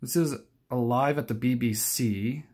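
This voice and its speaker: A man's voice: medium pitch, unhurried, a little mellow, breathy edge. A young adult man giving a casual, unscripted show-and-tell, rambling hesitantly and often correcting himself. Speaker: collector presenting his cassette collection.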